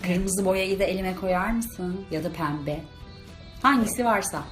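A woman hosting a kids' video, chatting in an excitable, playful way to the camera during a challenge. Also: on the low side, unhurried. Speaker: host of a kids' video channel. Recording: roomy echo with background music.

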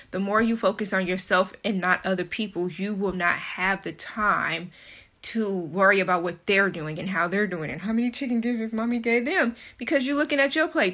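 A woman's voice: medium pitch, a bit bright. A young woman with a speech impediment telling a story to her phone camera at home, blunt and candid, cursing casually. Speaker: vlogger. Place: kitchen and living room at home, indoors. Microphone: phone camera held close.

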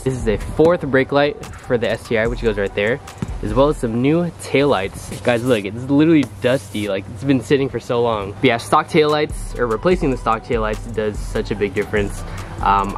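A young man chats casually to his camera in a driveway, upbeat and calling his viewers "guys". His voice is mid-pitched and a little nasal from a cold. Music plays under him. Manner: upbeat, says "guys" a lot. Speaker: car vlogger. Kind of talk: casual vlog chat. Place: driveway and garage, outdoors.

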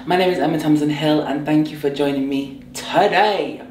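A young woman with a hoarse, croaky voice from a sore throat, chatting playfully through a clothing try-on haul. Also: low voice, moderate pace, darkish tone. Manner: chatty, bubbly, playful asides. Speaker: fashion vlogger. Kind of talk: casual try-on haul chat. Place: bright indoor room at home. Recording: echoey room.

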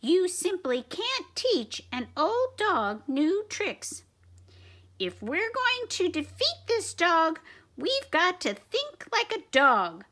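A woman's voice: very high voice, sharp tone, pitched above the performer's own voice, exaggerated voice. A woman voicing an earnest mouse scientist in a storybook reading.

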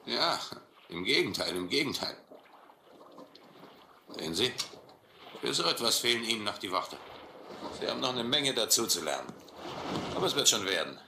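A deep, sardonic sea captain. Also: male voice, very animated, normal speed, pauses often, sharp tone, exaggerated voice. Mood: mocking. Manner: sardonic, condescending, commanding. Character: domineering sea captain, mocking, brutal, intellectual bully. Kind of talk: German audio adventure drama acting. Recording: roomy old radio-play recording, faint noise.

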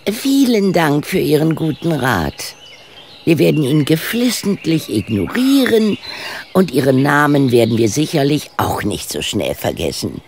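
Creaky-voiced, haughty amateur sleuth. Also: mid-range voice, big pitch swings, measured pace, a little hiss or hum. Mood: indignant, tart. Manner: formal, haughty, precise. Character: dignified, stubborn amateur sleuth, tart and curious. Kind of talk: German radio play acting.

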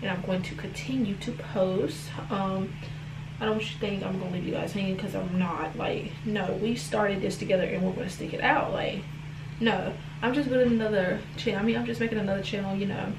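A woman's voice: medium pitch, fairly even intonation, a little fast. A young woman talking casually to her camera at home as a vlogger, chatty and rambling, often calling her viewers "y'all". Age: young adult. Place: home room indoors, bathroom later. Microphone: phone or camera propped low, close. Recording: echoey room with noise.

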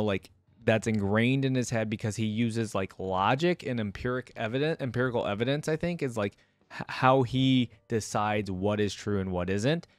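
A man's voice: mid-range voice, no room sound. A young man giving casual, rambling reaction commentary as host, close to a desk microphone. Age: young adult. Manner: rambling, informal. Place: indoor room at a desk. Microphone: close desk microphone, headset worn.

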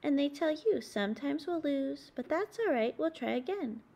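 A woman reading aloud as a children's book host, in deliberate, expressive phrasing meant for young listeners. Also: high-pitched, vocal fry. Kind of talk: read-aloud storybook narration with discussion questions.

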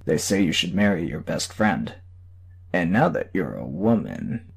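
A man's voice: deep voice, very animated, measured pace, creaky, slightly rough, voice pushed down, exaggerated voice. A man voicing a sceptical, suave male superhero in an audiobook. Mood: teasing.

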